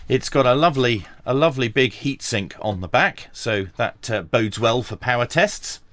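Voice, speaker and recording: A man's voice: medium pitch, animated, normal speed, a bit bright, no echo. A man giving a casual, explanatory product review in a conversational, understated way. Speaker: radio equipment reviewer.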